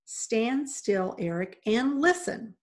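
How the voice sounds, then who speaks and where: A woman voicing a tiny older housewife who is steady, stern and no-nonsense, in a storybook reading. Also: a little high, takes it slowly. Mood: firm, stern. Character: tiny, steady, firm older custodian's wife.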